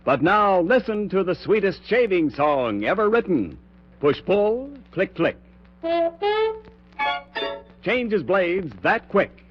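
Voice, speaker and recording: A man's voice: high-pitched, sing-song, slow, long pauses, soft background music. A man reading a 1940s radio commercial as an announcer, with punchy, emphatic salesmanship. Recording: muffled 1940s radio, slightly husky sound.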